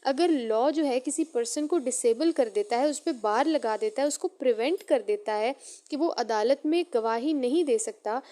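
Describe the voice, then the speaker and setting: A woman's voice: sits high, moderate pace, warm tone. A woman with a Pakistani accent giving an explanatory lecture, teaching step by step, rephrasing her points and mixing in English terms. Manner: didactic, repeats and rephrases points, mixes in English legal terms. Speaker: law lecturer and advocate.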